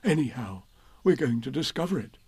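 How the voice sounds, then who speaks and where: A man's voice: medium pitch, sing-song, a little fast, dark tone, husky, dry recording. A man voicing an eager, simple, good-natured toy bear, naive and earnest, in a children's storybook reading. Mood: friendly, muddled.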